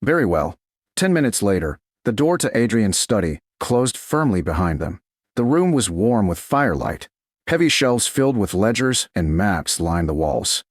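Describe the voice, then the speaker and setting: A man narrating a romantic story as an audiobook, with dramatic, deliberate short phrases. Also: on the low side, very animated, dry recording, clean studio sound.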